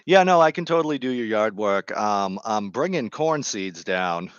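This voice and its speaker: Rambling low-voiced man with a New England accent. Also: expressive, moderate pace, smooth, no echo, muffled recording. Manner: chatty, digressive, self-deprecating. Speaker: gardening streamer. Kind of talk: rambling casual chat while gardening.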